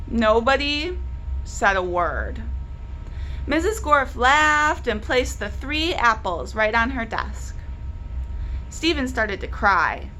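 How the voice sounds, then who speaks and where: A woman hosting a library book club, reading a children's storybook aloud with deliberate, animated emphasis. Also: a little high, a little hiss or hum, low-quality recording.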